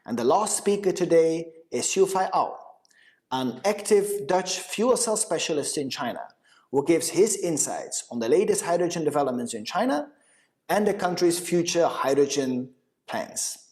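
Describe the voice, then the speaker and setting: A man moderating a webinar, introducing the next speaker in a brisk, formal way. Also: a little high, animated, darkish tone.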